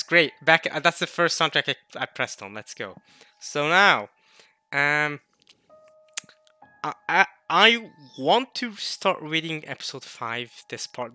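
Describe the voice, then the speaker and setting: A man in his late teens chatting casually to viewers as a video host, jokey and animated, repeating words in bursts as he rambles. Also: medium pitch. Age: late teens, turning twenty. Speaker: host of a video series reading a visual novel.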